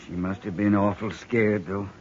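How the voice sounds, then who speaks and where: An actor playing a stunned, bitter widowed rancher in a 1950s radio western, terse and rustic. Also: male voice, lowish voice, fairly even intonation, normal speed, slight background noise, natural voice. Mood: numb grief. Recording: muffled 1950s radio recording.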